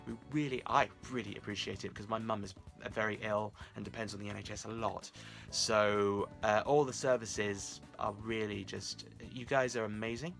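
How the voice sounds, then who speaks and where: A man's voice: fairly low pitch, steady tone, normal speed, creaky, fairly bright tone, soft background music. A man talking casually to his viewers as the host of a vlog.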